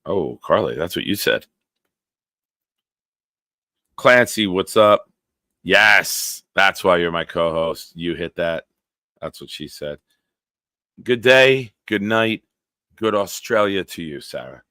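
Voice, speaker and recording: A man in his forties hosting a live-stream show, reading viewer comments aloud and bantering bluntly with a smooth, deep voice. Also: expressive, slow, pushed and bright, dry recording. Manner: banters with chat, blunt and profane.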